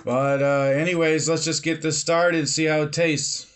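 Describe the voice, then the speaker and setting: A man in his thirties casually reviewing a beer at home, relaxed and filling pauses with "um" and "uh", recorded on a webcam or phone at his desk. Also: on the high side, smooth. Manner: relaxed, many fillers and pauses. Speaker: beer reviewer, channel host. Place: home room with bookshelves, indoors.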